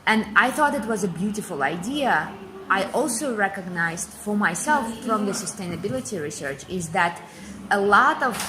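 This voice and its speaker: A woman's voice: mid-range voice, low-quality recording. A woman in her forties from Kazakhstan, a guest giving fluent, emphatic interview answers and repeating phrases for effect. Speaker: interview guest, business speaker.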